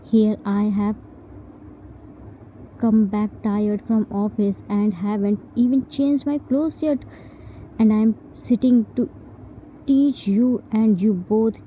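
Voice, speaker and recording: A woman's voice: medium pitch, warm tone, a little hiss or hum, natural voice, low-quality recording. A woman voicing a strict, tired guardian who lectures and scolds with exasperation. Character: strict, exhausted guardian brother, young man.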